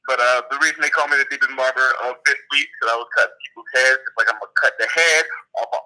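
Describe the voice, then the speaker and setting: A man's voice: mid-range voice, rapid-fire, pushed and bright. A man calling in by phone, talking in a laid-back, slangy way with some swearing. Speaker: caller, league team owner. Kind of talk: casual phone chat. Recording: tinny phone line.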